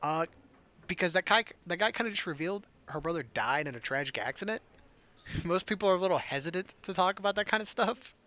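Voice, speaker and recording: A man's voice: high-pitched, expressive, a little fast, lo-fi sound. A man hosting a game playthrough, reading a visual novel aloud and dropping in casual, offhand commentary. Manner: switches between read dialogue and offhand asides. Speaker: gaming video host. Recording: loud game music behind the voice. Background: game music.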